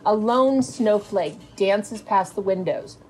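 A woman's voice: mid-range voice, takes it slowly, poor audio. A middle-aged American woman, a schoolteacher, explaining things and reading aloud. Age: middle-aged or older. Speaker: fifth grade teacher. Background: music.

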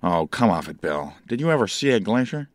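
A teenage actor playing a breezy, blunt insurance investigator in an old-time radio drama. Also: male voice, on the low side, very animated, fairly quick, a crowd in the background. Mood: incredulous.

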